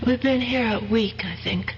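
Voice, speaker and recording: An actress playing a desperate, fretful wife with a plaintive, emotional delivery in an old-time radio drama. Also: female voice, fairly high pitch, moderate pace, close to an everyday voice. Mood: weary. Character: desperate, fretful wife trapped in a dark cave. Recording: dull vintage radio recording, faint noise.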